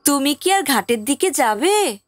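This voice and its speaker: High, swooping anxious village wife played by a woman. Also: lively intonation, measured pace, no room sound, faint music behind. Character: anxious, superstitious village wife, fearful of ghosts. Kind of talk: Bengali audio drama acting.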